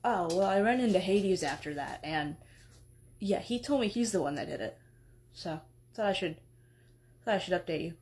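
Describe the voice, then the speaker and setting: A woman voicing a sleepy, slow-witted male god who mumbles, hesitates and apologizes. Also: medium pitch, lively intonation, darkish tone, pitched below the performer's own voice, close to an everyday voice.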